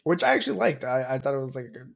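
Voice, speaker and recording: A man's voice: medium pitch, sing-song, rapid-fire, gravelly. A young man co-hosting a podcast, chatting casually in a rambling, hesitant way full of hedges. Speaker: podcast co-host. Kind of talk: casual chat.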